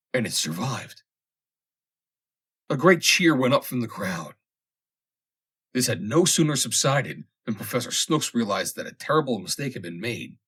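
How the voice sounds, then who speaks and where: A man reads a comic vintage story aloud as scripted narration, in a measured, dramatic storytelling manner with deliberate pauses. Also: lowish voice, fairly bright tone, breathy edge. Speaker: audiobook reader.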